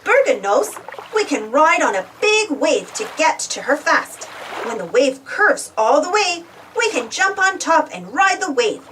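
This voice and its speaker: A woman reading a storybook aloud to children in an expressive, exclamatory way, putting questions to her young listeners. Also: high voice, unhurried, a little hiss or hum. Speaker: storybook reader. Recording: distant from the mic.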